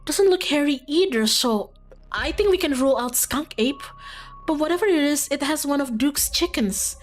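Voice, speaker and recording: A woman's voice: sits high, expressive, moderate pace, pitched above the performer's own voice. A woman voicing a friendly, earnest, folksy young small-town monster hunter in a visual novel. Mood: keen, urgent. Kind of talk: visual novel character read aloud.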